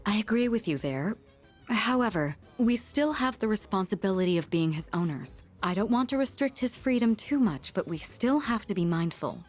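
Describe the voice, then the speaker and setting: A woman's voice: medium pitch, expressive, normal speed, soft background music, close to an everyday voice. An actress playing a composed, responsible young woman in a video game, poised, formal and firm. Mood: calm, firm. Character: composed, responsible, mature teenage girl leader.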